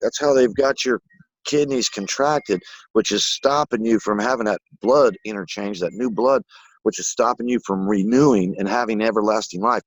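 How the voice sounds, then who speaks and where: A man in a casual spiritual conversation who rambles excitedly and swears casually. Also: on the low side, normal speed, no echo, poor audio.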